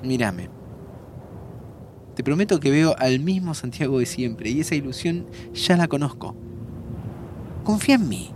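An actor playing a young time traveller, a loyal and frank friend, with a Rioplatense Argentine accent and informal, expressive talk in an audio drama. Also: male voice, medium pitch, a little slow, long pauses, a little hiss or hum. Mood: encouraging. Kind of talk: naturalistic audio drama acting.

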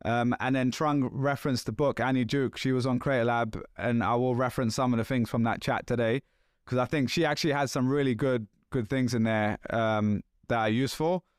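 A man in his thirties with a London accent, hosting a casual podcast chat in a chatty, animated way with loose slang. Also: medium pitch, brisk, smooth, no room sound, clean studio sound. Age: 30s. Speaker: podcast host.

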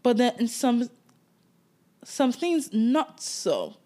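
A woman's voice: a little high, huge pitch swings, slow, warm tone. Candid Nigerian woman co-hosting a podcast. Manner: reflective, candid, laughs easily. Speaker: podcast co-host. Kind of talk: casual chat.

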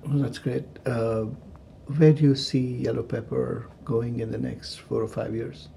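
Gravelly-voiced male interviewer. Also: mid-range voice, flat delivery, a little slow, dark tone, slight background noise. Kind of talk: interview questions.

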